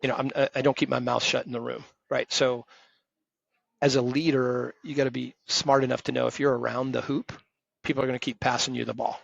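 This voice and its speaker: Gravelly, husky man in his fifties, flat delivery, dull dry lo-fi recording. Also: mid-range voice, brisk, no echo. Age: 50s. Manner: emphatic, direct, self-described loud voice. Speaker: podcast guest, retired firm partner. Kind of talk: conversational interview.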